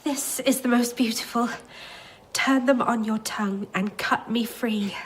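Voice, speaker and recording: Female actor as young poetry pupil, very high pitch, curious, earnest, wondering, radio drama, slight background noise. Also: fairly even intonation, a little slow, close to an everyday voice, poor audio. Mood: delighted.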